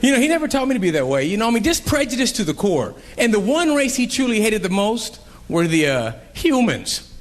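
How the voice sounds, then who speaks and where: A young man performing a stand-up comedy set on a club stage, telling animated stories and acting out characters. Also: high voice, fairly quick, hardly any pauses. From Columbus, Ohio. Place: comedy club stage before a laughing crowd. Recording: live club recording with crowd laughter and noise.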